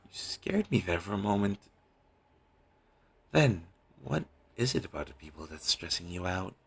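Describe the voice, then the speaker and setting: A caring talent manager played by a man in an intimate audio roleplay, with a gravelly, husky voice. He coaxes and gently teases, his intonation rising and falling freely. The amateur recording is low in quality. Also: very animated, normal speed, heavily acted. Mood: teasing, probing. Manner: coaxing, gently teasing. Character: caring, teasing male talent manager soothing a troubled actor. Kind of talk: scripted audio roleplay, one-sided intimate conversation.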